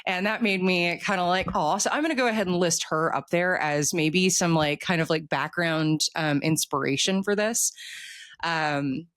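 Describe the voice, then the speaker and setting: A woman's voice: lowish voice, normal speed, fairly bright tone, no echo. A middle-aged American woman, an author, chatting informally in an interview and acting out little quoted reactions. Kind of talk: casual interview chat.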